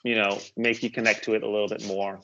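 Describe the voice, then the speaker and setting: Man, thoughtful halting review. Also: on the low side, flat delivery, a bit bright, highs cut off, slight background noise. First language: Dutch. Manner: hesitant, repeats words while thinking. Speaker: co-host reviewing songs. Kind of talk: casual review chat.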